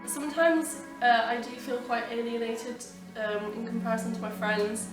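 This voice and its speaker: A woman's voice: on the high side, steady tone, normal speed. A teenage girl from France, answering interview questions in a thoughtful, hedging way. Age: teens. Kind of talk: casual interview talk. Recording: distant, echoey with music.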